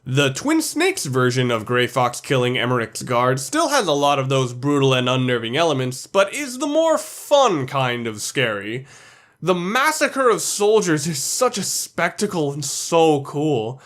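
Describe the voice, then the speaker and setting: A man with a slightly high voice narrates a countdown video. His delivery is scripted commentary, set out item by item as a video essayist. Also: sing-song, measured pace, a bit bright.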